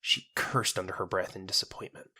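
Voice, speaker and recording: A man narrates a scripted drama in a lowish voice with a bright, forceful edge. The sound is close and dry, as in an audiobook. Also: fairly even intonation, a little fast, slightly rough, dry recording.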